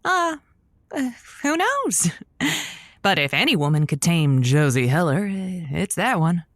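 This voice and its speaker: A woman's voice: lowish voice, sing-song, measured pace, fairly bright tone, no echo, clean studio sound. A woman playing a wisecracking, sentimental radio host in an audio drama, with teasing, slightly hesitant banter.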